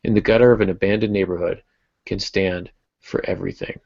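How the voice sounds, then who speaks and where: A man's voice: low-pitched, fairly even intonation, brisk, gravelly, darkish tone, no echo. A man co-hosting a casual podcast discussion, speaking emphatically and repeating key phrases over an online call. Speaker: podcast co-host. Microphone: online video call. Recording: dull, lo-fi internet call audio.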